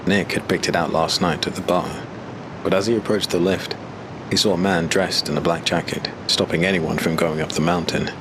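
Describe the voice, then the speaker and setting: A man narrating a dark fantasy audiobook in a steady, measured way. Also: sits low, background noise.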